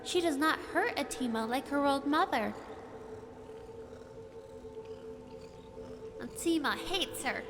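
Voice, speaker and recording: A woman's voice: sits high, expressive, moderate pace. A woman voicing a naive, sweet young Khajiit cat-girl in a fantasy video game, speaking in simple, childlike phrases about herself in the third person. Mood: hurt, resentful. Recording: game audio with loud music.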